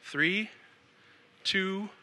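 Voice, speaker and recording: Very bright-voiced man giving a talk. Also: high-pitched, drawn-out words, slight background noise. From born in Samoa, raised in the United States. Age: 40s. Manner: upbeat, casual, leads the crowd. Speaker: speaker at an education event. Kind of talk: live talk to an audience.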